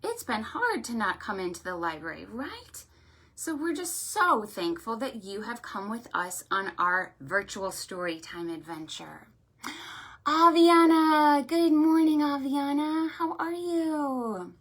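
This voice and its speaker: Lady doing kids storytime, highish voice, takes it slow, sing-songy and sweet w lots of little questions. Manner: animated, sing-song, encouraging child-directed talk with frequent check-in questions; affectionate, effusive greetings and thanks. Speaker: children's library storytime host. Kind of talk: children's storytime, talking to young viewers.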